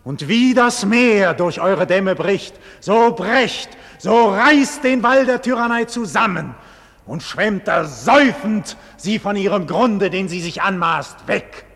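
Doomed heroic nobleman, slow solemn declamation, husky, classical stage style, long cavernous reverb, vintage radio drama. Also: high voice, expressive, fairly bright tone, full character voice. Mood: rising fervour. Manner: solemn, slow, theatrical declamation with wide dynamic swings. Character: condemned heroic nobleman facing execution, proud, visionary, defiant. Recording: 1940s radio recording with long hall reverb.

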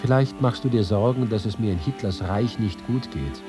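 An actor playing a reporter reading his letters and accounts in a measured, literary way for a radio feature. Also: on the low side, natural voice. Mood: wry, reassuring. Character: male left-wing reporter recounting persecution in letters and reports. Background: music.

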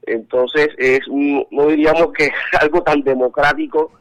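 A Colombian man speaking as an interview guest over a telephone call, halting and restarting his sentences, with many fillers. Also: a little high, steady tone. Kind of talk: phone interview. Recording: telephone line.

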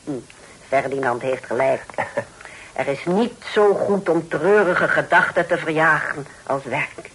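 Pious, industrious aunt, motherly and brisk, faintly noisy old radio recording. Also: low-pitched, a bit bright. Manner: kindly, brisk, full of hums. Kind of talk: Dutch radio play acting.

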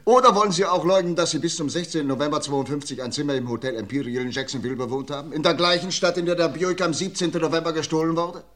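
An actor playing a shrewd police inspector in a 1950s radio drama, questioning a suspect in a measured, pointed way. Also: male voice, on the high side, steady tone, a bit bright, natural voice. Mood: accusing. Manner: measured, pointed interrogating delivery.

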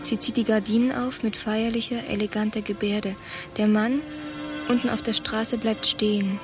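A woman's voice: mid-range voice, flat delivery, normal speed, over music, close to an everyday voice. A woman playing a nosy, judgmental onlooker in a radio play, reporting what she spies in short, insinuating phrases. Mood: mounting fascination.

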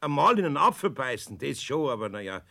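An actor playing a kindly older male master carpenter in a radio play, patient and gently chiding. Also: medium pitch, big pitch swings, fast talker, creaky, full character voice. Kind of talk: 1970s radio play acting.

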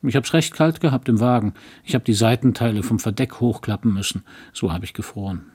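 Guy doing a plodding small-town doctor husband, audiobook, low husky voice, rambly and grumbly. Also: lively intonation, fairly quick, darkish tone. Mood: mild grumbling. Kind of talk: audiobook reading.

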